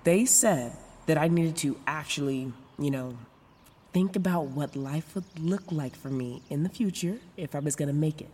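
A woman's voice: low-pitched, normal speed, mellow, slightly rough, long reverb tail. An actress playing a frank, foul-mouthed young woman, newly sober, rambling through a confessional audio drama in sarcastic slang. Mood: reflective. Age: young adult. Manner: rambling, self-interrupting, sarcastic, casual slangy talk. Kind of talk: confessional first-person audio drama narration.

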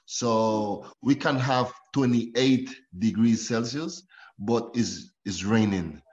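Costa rican guy in his 30s, slow easy talk, laughs a lot, echoey dull audio. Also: medium pitch. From Costa Rica. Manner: laughs often, relaxed storytelling. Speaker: professional footballer, guest. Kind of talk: casual interview chat.

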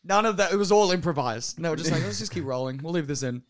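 Man, podcast co-host, higher voice, joking plot recap, sweary and loose. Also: animated, fast. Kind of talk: casual recap chat.

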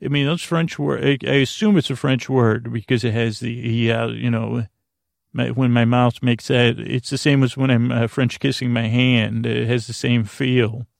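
A man hosting a sleep podcast in a rambling, halting monologue, trailing off and interrupting himself with whimsical, self-deprecating humor. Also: medium pitch, steady tone, creaky, no echo, clean studio sound. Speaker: podcast host.

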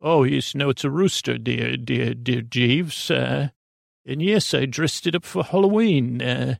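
A man playing a fussy, doting Gilded Age aristocrat in a bedtime story, genteel, dithering and halting. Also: mid-range voice, creaky, no room sound, studio quality. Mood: fussy, proud.

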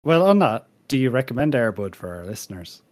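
A man's voice: mid-range voice, very animated, a little fast, darkish tone, dry recording. A man hosting a casual panel chat among friends. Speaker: podcast host.

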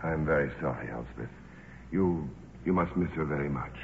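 A man's voice: extremely low pitch, moderate pace, gravelly. An actor playing a courteous, concerned and persistent male investigator in a 1950s radio drama, polite and a little hesitant. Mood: gentle, sympathetic. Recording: narrow, noisy 1950s radio recording.

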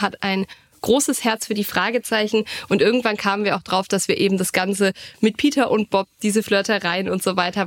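A woman's voice: mid-range voice, no echo. A woman chatting casually and enthusiastically as a podcast co-host, with a gushing way of talking.

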